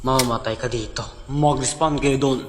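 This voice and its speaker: A man's voice: mid-range voice, fairly even intonation, normal speed, big-room sound, a little hiss or hum, close to an everyday voice. An actor playing a serious adult male leader who explains a dangerous mission, in a fan dub. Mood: grave. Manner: grave, explaining. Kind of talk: Tagalog fan dub acting.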